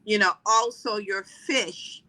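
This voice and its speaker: A woman's voice: medium pitch, slow, sharp tone, low-quality recording. A middle-aged woman from the Caribbean, chatting freely as a podcast guest with many fillers and restarts. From Trinidad and Barbados. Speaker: podcast guest, wellness coach. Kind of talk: casual interview talk.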